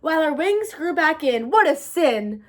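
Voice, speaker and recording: A woman plays a worried storybook bee, urgent and full of complaint. The pitch is high and very lively as it pleads. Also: unhurried. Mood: alarmed pleading. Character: frightened, pleading bees buzzing with complaint.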